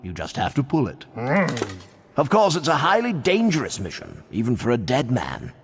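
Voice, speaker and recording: A man's voice: medium pitch, wildly swooping pitch, moderate pace, slight background noise. An actor playing a jovial, blustering old-fashioned king with a plummy, pompous upper-class British accent in a video game. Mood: hearty, rousing. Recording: long echoing hall reverb.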